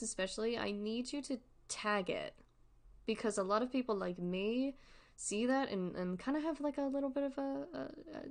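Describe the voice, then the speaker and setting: A woman giving candid, casual livestream commentary, talking haltingly with repeated words and emphatic asides. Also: on the low side. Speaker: streamer and artist.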